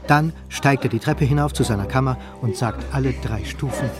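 A man's voice: mid-range voice, a little fast, over music. A man narrating a literary radio play in a scripted, storytelling style.

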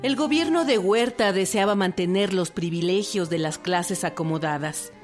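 A woman's voice: on the low side, normal speed, music in the background. A woman reading scripted documentary narration for radio.

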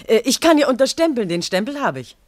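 A pert young woman in charge of an office. She is brisk, self-assured and officious, in German radio drama with a close, dry studio sound. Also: a little high, sing-song, dry recording. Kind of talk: German radio play acting.

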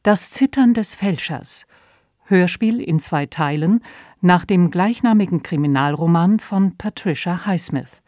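A woman announces a radio play from a script. Her voice is mellow and slow, with a neutral, measured delivery. The studio sound is very dry and close. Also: fairly low pitch, animated, dry recording. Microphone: close studio microphone.

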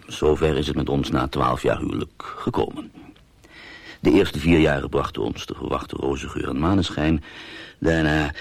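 Gravelly, very deep sardonic husband. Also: male voice, no room sound, heavily acted. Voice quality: gravelly, husky. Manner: dry, ironic, measured narration with mock affection. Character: scheming, sardonic husband weary of his marriage, narrating. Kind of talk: radio drama monologue.

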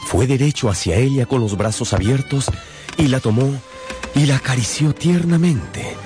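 A man narrating a literary radio drama as a storyteller, with dramatic pauses and emphasis. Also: mid-range voice. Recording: music behind the voice.

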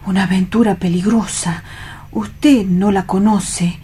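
A woman playing a timid, dreamy male clerk in a radio theatre reading, hesitant and anxious, with a husky, breathy voice and an accent from Spain. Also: medium pitch, steady tone, unhurried, warm tone. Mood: dreamy dread. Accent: Spanish (Spain). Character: timid, dreamy male bank clerk afraid of real love.

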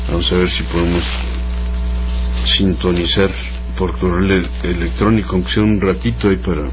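A Mexican man hosts a radio show in a low-pitched voice. He speaks slowly and haltingly, feeling his way through unscripted remarks. The sound is dull and lo-fi. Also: background noise. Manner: halting, hesitant, repeating words. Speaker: radio host. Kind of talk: unscripted radio talk introducing music.

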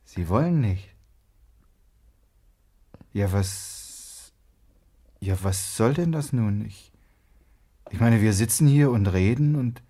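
An actor playing a skeptical, irritable young husband in a German radio play, halting, blunt and defensive in his delivery. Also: lowish voice, animated, mellow. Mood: baffled. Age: young adult.

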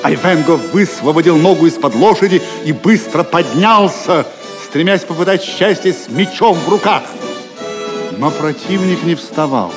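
A man's voice: a little high, moderate pace. A man narrating an audio drama as dramatic scripted storytelling, with long reverb and music behind him.

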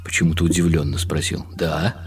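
A man reading a first-person literary story as a radio play, in a measured, wry and bitter tone. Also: extremely low pitch, level tone, soft background music.

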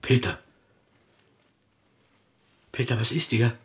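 A man's voice: mid-range voice, slow pace, slightly rough. An actor playing a melancholy, pompous old man of letters in a German radio play, theatrical and muttering as he corrects himself. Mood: stunned grief. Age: older.

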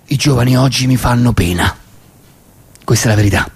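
A man performing a comic stage monologue as a nostalgic, indignant old grandfather with a Roman accent, ranting in repetitions and halting pauses. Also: mid-range voice, gravelly, breathy edge, voice pushed down. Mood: nostalgic, rueful. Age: older. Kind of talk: theatrical comic monologue reading.

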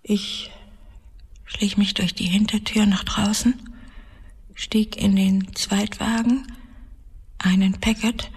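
An actress in a radio drama playing a vengeful murderess who confesses her cruel deeds in a deliberate, chillingly controlled, sometimes half-whispered narration. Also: medium pitch, steady tone, breathy edge, heavily acted. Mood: stealthy, tense. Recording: long reverberant tail on the voice.

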